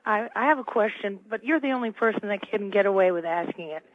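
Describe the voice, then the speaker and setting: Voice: medium pitch, expressive, quick pace, dry recording. A caller phoning in to a radio talk show, chatting casually over a telephone. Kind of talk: casual call-in chat. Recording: dull lo-fi telephone line.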